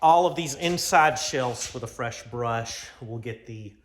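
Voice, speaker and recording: Casual American male vlogger, mid-pitched, folksy and informal, thinking aloud, faint noise behind. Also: unhurried. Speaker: vlog host. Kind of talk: casual rambling garage vlog. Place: home garage workshop.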